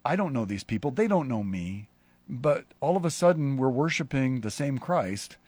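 A pastor hosting a casual conversation, a man who talks in halting, rambling sentences with many restarts. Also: medium pitch, big pitch swings, a little mellow, breathy edge, no echo. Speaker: pastor and show host.

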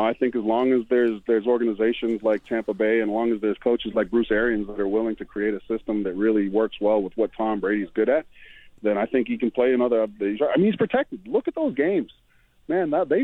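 A man's voice: on the low side, lively intonation, brisk, no echo. A man in his forties from New Zealand, giving blunt, rambling opinions as a commentator on a radio sports show. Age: 40s. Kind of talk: casual radio sports chat. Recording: dry tinny phone line.

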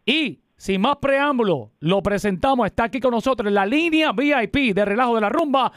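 A man hosting a live radio interview, with a lively announcer delivery and frequent fillers when chatting. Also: very high voice, fairly quick, no room sound, clean studio sound. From Puerto Rico. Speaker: radio host.

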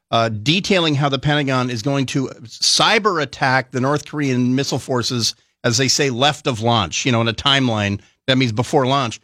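A man's voice: medium pitch, fairly bright tone, dry recording, clean studio sound. A man giving blunt, sardonic commentary as a guest analyst on a talk radio show, often restarting his phrases.

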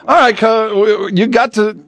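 A man's voice: high voice, fairly even intonation, a little slow, fairly bright tone, dry recording. A man hosting a sports radio show, chatting casually and putting questions to a guest. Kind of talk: casual interview chat.

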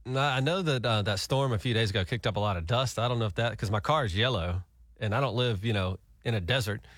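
A man hosting a country radio show, joking and bantering quickly with his co-host. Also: lowish voice, a bit bright, no echo, studio quality. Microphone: studio mic.

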